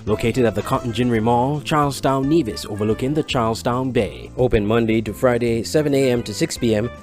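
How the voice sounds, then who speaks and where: A male announcer reads a scripted commercial. His pitch is average for a man, and music plays softly behind him. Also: brisk. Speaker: advertising announcer. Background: background music.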